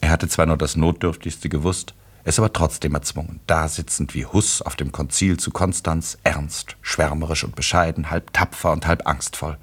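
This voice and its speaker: A wry, composed storyteller with an extremely deep male voice. The tone is husky and the delivery measured. He reads literary narration with dry irony. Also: fairly even intonation, fairly bright tone, exaggerated voice.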